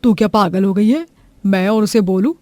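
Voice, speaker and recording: A woman's voice: medium pitch, normal speed, warm tone, no room sound, natural voice. Bitter, scolding mother-in-law, put on by a woman, dry close sound. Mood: indignant. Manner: scolding, contemptuous. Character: harsh scornful middle-aged mother-in-law.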